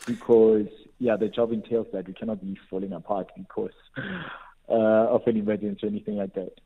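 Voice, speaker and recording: Husky South African man explaining things. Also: on the low side, level tone, fairly quick, narrow, tinny audio. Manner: frequent "you know" as a verbal habit. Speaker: air traffic controller, interview guest. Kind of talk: explanatory interview answers.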